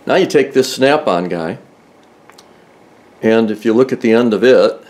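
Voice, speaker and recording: A man's voice: medium pitch, lively intonation, a little slow, darkish tone. An older man explaining a tool in plain, methodical talk, often restarting mid-sentence, recorded inside a car in a garage. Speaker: car restoration video host. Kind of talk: hands-on tool demonstration.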